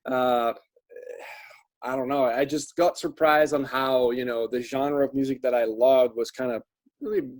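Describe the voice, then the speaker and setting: A man from Italy with an Italian accent, chatting as a guest in an interview, halting and restarting his sentences. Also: medium pitch, steady tone, no room sound. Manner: halting, restarts often, laughs between phrases. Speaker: guest filmmaker and rock singer. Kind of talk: casual interview chat.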